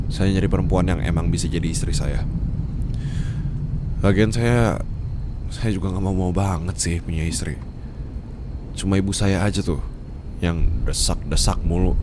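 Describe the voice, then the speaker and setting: A man's voice: low-pitched, close to an everyday voice. A man playing a curt, haughty, exasperated young boss in an acted audio roleplay, speaking brusquely and dismissively. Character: curt, haughty young male boss, reluctant blind-dater. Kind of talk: Indonesian ASMR boyfriend roleplay, acted dialogue. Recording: clear vehicle ambience noise behind voice. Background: car ambience sound effects.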